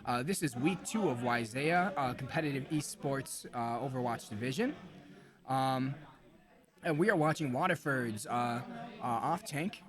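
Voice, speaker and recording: A slightly hoarse man hosting a live esports stream, commentating casually with informal, joking banter. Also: mid-range voice, a little slow, a crowd in the background.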